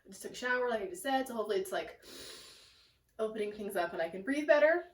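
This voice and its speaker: Congested raspy young woman vlogging. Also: a little high, lively intonation, fast talker, slight background noise. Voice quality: raspy and nasal. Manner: chatty and self-deprecating, lots of "like" and "um". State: sick, congested. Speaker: plant vlogger. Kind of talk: casual rambling vlog chat. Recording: echoey room, mic at a distance.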